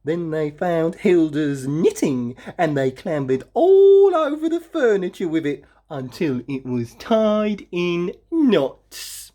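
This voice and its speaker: A woman reading a children's story aloud expressively, with dramatic emphasis and drawn-out words. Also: on the low side, big pitch swings, dark tone. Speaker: storybook reader.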